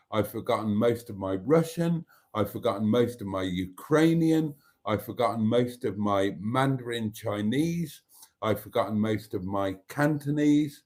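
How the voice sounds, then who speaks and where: A man's voice: on the low side, darkish tone. An older man from Wales, Welsh his first language, hosting a casual live stream, chatting in a rambling, wry way as he reads out viewer comments. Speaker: livestream host.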